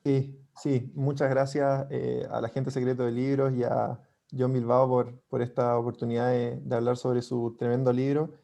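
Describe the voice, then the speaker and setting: A man's voice: mid-range voice, level tone, moderate pace, vocal fry, hardly any pauses, mellow. A man from Chile with a Chilean accent, a book club reader politely asking the author a long, winding question with fillers. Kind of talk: prepared question in a video call.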